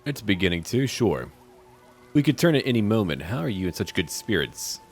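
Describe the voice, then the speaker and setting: Low-voiced man with animated intonation, game commentary. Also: fairly quick, slightly rough. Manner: talks to game characters in first person. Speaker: gaming video host. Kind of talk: roleplay game playthrough commentary. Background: game music.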